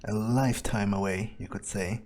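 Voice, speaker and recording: A man's voice: fairly low pitch, steady tone, normal speed, a little mellow. A man playing a video game in character, muttering his thoughts aloud in a sardonic, foul-mouthed way.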